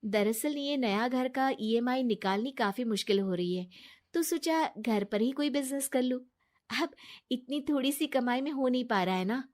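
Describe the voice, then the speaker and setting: Woman acting a high-voiced, trusting young wife. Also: moderate pace, a little mellow, lightly acted. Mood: chatty, hopeful. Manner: earnest, pleading. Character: trusting, soft-hearted young wife, naive and apologetic.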